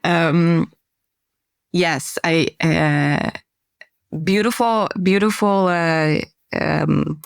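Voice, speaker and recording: A woman's voice: sits low, vocal fry, clear, resonant tone, no echo, clean studio sound. A woman from Romania, a consultant guest on a podcast, talking in a friendly, thoughtful way over a remote call. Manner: friendly, thoughtful, some filler pauses. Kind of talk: conversational podcast interview.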